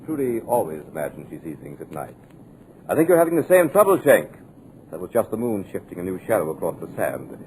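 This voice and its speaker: An actor playing a menacing, domineering producer in a 1940s radio drama, speaking in a brusque, imperious manner. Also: male voice, low-pitched, very animated, quick pace, a little mellow, slight background noise, poor audio. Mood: brusque, dismissive.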